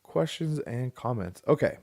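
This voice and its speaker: A man's voice: mid-range voice, expressive, a little slow, gravelly, darkish tone. A man co-hosting a casual podcast, rambling with many fillers and restarts. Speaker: podcast co-host. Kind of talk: casual chat.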